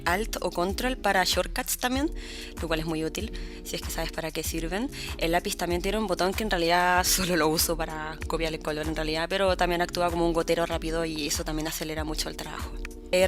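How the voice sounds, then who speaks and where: A young woman with a Chilean accent, chatting her way through a relaxed digital art tutorial as the channel's host, often restarting her words. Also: on the low side. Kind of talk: casual tutorial narration.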